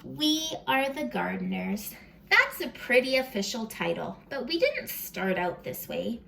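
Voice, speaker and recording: A woman with a fairly high voice and a noticeable creak reads a picture book to small children at a slow, careful pace. She is expressive, pausing to put questions to her young listeners and sometimes slipping into a whisper. There is faint noise behind her in a home recording. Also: rough recording. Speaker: read-aloud host for young children. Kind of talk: animated children's storybook read-aloud with chat to the listeners.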